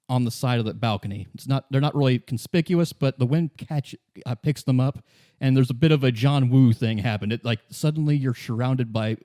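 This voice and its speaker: A man narrating an improvised tabletop game as game master, in a halting, conversational way. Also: mid-range voice, mellow, no echo, clean studio sound.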